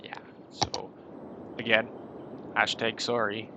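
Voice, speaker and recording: A man's voice: lowish voice, completely flat delivery, slow pace, noisy background. A man with a head cold and a nasal voice, chatting casually and rambling while he plays a city-building game on stream. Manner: rambling, chatty, self-deprecating. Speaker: gaming streamer. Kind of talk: casual gameplay commentary.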